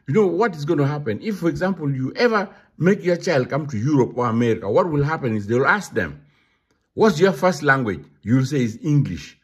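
A man's voice: on the high side, big pitch swings, a little fast, breathy edge. A man with a Ugandan accent speaking in a casual monologue, emphatic and given to repeating himself. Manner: repetitive, emphatic. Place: London.

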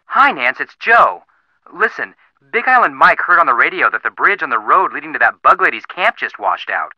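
Young detective game sidekick, bright lively voice, boyish, eager, casual, friendly. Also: male voice, fairly high pitch, no echo. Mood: concerned, helpful. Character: upbeat, boyish young male amateur detective, helpful friend. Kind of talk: video game voice acting.

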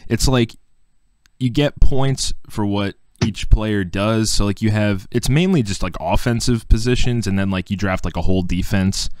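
Young male host, low smooth voice, casual rambling podcast chat. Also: moderate pace, no echo. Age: 20s. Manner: relaxed, rambling with many "like"s. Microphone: video call.

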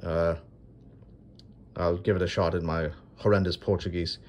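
A man hosting his own coin video, narrating an unboxing from off camera in a dry, self-deprecating way with unhurried pauses. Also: deep voice, level tone. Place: indoors at a marble tabletop. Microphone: phone camera held over a table.

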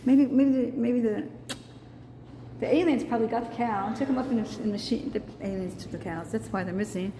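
A woman's voice: on the high side, a little fast. A middle-aged woman filming herself on a phone indoors, chatting and asking curious, teasing questions. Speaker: vlogger filming a public building. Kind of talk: casual self-filmed narration. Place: indoor courthouse hallways. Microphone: handheld phone. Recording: echoey hallway, loud background noise.

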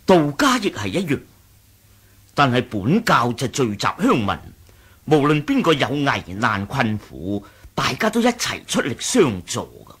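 An actor playing a loyal, deferential senior lieutenant of a martial sect in a Hong Kong Cantonese radio drama, formal and husky. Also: male voice, mid-range voice, huge pitch swings, a little slow, fairly bright tone, heavily acted. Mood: grave, earnest. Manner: formal, respectful. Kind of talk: 1980s radio drama acting.

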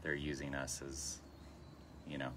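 A man's voice: rumbling low, monotone, unhurried, a bit bright, a little hiss or hum. A man co-hosting a live stream outdoors, chatting casually and reading out viewer comments. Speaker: co-host. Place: outdoors in a park.

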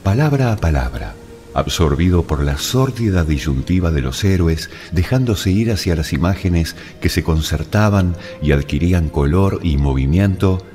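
A man narrating a literary story in a dramatic, measured and deliberate way. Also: low voice, warm tone. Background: music.

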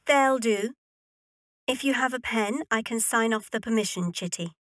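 A woman as a haughty, high-voiced young heiress. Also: moderate pace, a bit bright, no room sound, studio quality, natural voice. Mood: icy irony. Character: proud, aloof young heiress, coolly ironic. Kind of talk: period audio drama acting.

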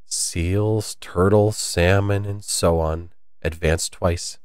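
A man voicing the Mock Turtle, a melancholy old sea creature who speaks slowly and sadly. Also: low voice, steady tone, a little mellow, clear, resonant tone, no echo, studio quality. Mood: solemn. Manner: slow, sad, sometimes choked with sobs.

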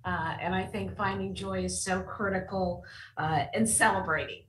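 A woman's voice: medium pitch, fairly even intonation, moderate pace, a bit bright. A woman moderating an online panel, upbeat and easy with a laugh. Her voice comes through roomy and set back from the mic, with faint background noise on the call. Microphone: video call. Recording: echoey distant call audio with faint room noise.

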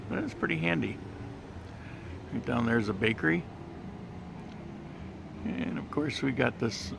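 A man talking through a casual walking travel vlog in a shopping mall, relaxed and chatty with plenty of fillers. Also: mid-range voice, creaky. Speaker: travel vlogger. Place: outdoor mall terrace and shopping center. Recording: handheld camera with street and mall noise.

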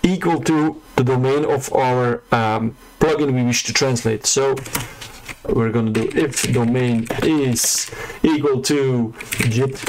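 A man's voice: mid-range voice, slow pace, a little mellow, poor audio. Male tutorial host, casual unscripted explainer, fillers and restarts, mid-range voice, typing behind. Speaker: web developer tutor. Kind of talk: screen-recorded coding tutorial.

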